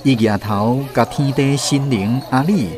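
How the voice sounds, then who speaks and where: A man with a Taiwanese accent narrating a literary radio drama as an expressive storyteller. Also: medium pitch, measured pace, over music.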